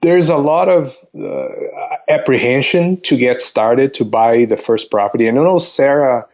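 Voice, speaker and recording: A man's voice: medium pitch, expressive, measured pace, darkish tone. Man giving advice on slightly dull audio. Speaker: investment counselor, podcast guest. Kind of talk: casual explanatory talk.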